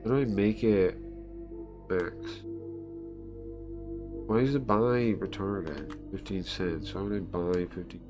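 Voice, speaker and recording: A man's voice: deep voice, takes it slowly, pauses often, over music. A man livestreaming casually, thinking aloud, muttering to himself and repeating phrases as he goes.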